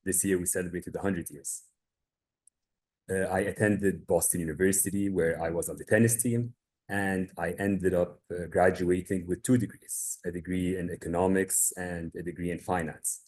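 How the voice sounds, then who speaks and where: Deep-voiced man from Egypt, measured. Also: fairly even intonation, a little mellow. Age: 30s. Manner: measured storytelling with frequent uh fillers. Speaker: guest, family business owner. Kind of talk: webinar presentation.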